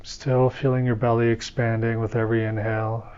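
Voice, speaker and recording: A man leads a guided meditation in a slow, soothing voice, instructing gently, one step after another. His pitch stays level, with almost no rise or fall. The audio is slightly dull and lo-fi. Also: flat delivery, clear, resonant tone. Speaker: meditation teacher.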